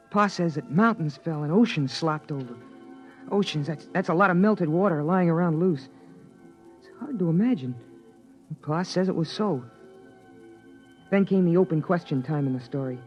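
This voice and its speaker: A man's voice: a little high, lightly acted. A man playing a young son who narrates his family's life, in earnest, wondering 1950s radio drama style. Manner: earnest, wide-eyed first-person narration. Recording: dull 1950s radio broadcast with music behind.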